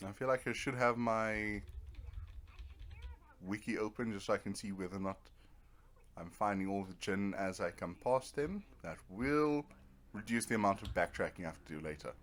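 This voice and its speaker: A man's voice: lowish voice, normal speed, fairly bright tone. A man giving casual, rambling let's play commentary, thinking aloud as he goes. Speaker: gamer narrating own playthrough.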